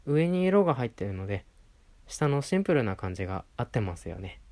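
A man's voice: mid-range voice, sing-song, fast talker, a little mellow. A man playing a polite, attentive clothing shop assistant in a roleplay audio, speaking courteously to a regular customer. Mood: friendly, attentive. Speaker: voice performer in a situation voice audio. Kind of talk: Japanese situation-voice roleplay addressed to the listener.

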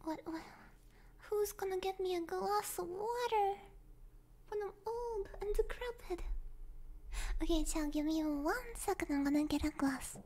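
Very high, soft girlish woman whispering. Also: a little slow. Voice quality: soft, breathy, girlish, often slipping into whisper. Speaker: ASMR livestream host. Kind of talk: soft ASMR chat with viewers, often whispered. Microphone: binaural ear microphone.

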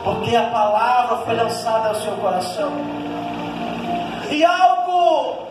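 A man in his thirties with a Northeastern Brazilian accent, preaching a passionate sermon that surges loud and then drops low, into a handheld mic in a small church hall. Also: animated, slow pace. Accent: Northeastern Brazilian Portuguese. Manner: impassioned preaching, surging then dropping low. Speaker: pastor. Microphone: handheld mic at a pulpit. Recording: echoey hall, distant camera, loud music.

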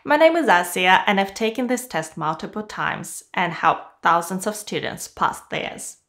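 A woman in her forties from Kazakhstan, English not her first language, giving a clear, upbeat scripted video lesson on exam preparation from a small home studio. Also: mid-range voice, big pitch swings, unhurried, a bit bright. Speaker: IELTS teacher.

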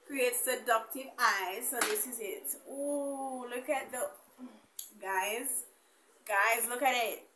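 A young woman chatting casually to camera as a beauty vlogger, animated and colloquial, addressing viewers familiarly. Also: on the high side, takes it slowly, roomy sound, background noise. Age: young adult. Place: small indoor room with bare wall.

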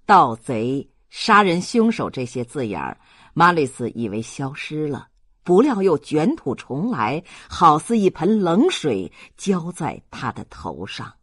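Husky, expressive audiobook narrator. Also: mid-range voice, unhurried, dry recording, studio quality. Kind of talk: expressive scripted narration of a classic novel.

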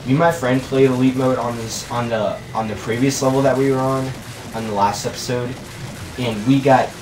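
A man's voice: medium pitch, fairly even intonation, moderate pace. A man chatting casually while playing a co-op video game, rambling and laid-back with lots of filler words. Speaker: co-op game commentator. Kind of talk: casual gaming chat. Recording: echoey room, distant mic, game noise. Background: video game sounds.

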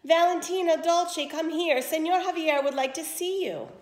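A woman's voice: extremely high pitch, moderate pace, echoey room, pitched above the performer's own voice. A woman voicing a scheming, gushing mother in a storybook, with a commanding, showy manner. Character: scheming, bossy, gushing mother of two daughters.

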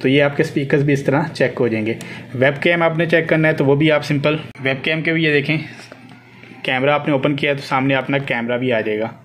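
A man walks through a hands-on tutorial in a medium-pitched voice. He instructs step by step in unscripted speech, often repeating phrases. The homemade phone recording carries noticeable noise behind him. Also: fairly even intonation, brisk. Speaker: tech tutorial presenter. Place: indoors at a desk with a laptop. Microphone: handheld phone behind the camera.

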